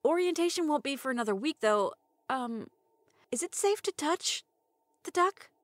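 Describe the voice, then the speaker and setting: A fairly high-pitched, closely recorded underground storyteller played by a woman. She is wry and expressive, a semi-retired radio host in an audio drama. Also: normal speed, no room sound. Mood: wry, puzzled.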